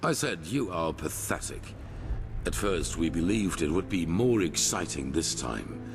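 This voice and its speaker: An actor voicing a sinister, superior otherworldly being in a fantasy game, with a contemptuous delivery. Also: male voice, deep voice, big pitch swings, gravelly, heavily acted. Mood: scornful. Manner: slow, contemptuous. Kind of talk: fantasy game villain acting. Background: music.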